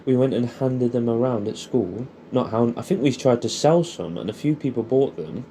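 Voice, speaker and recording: English man with a bright, chatty voice. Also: mid-range voice, a little hiss or hum. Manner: rambling, sweary, matey. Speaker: audio storyteller addressing an old friend. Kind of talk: casual intimate monologue, reminiscing.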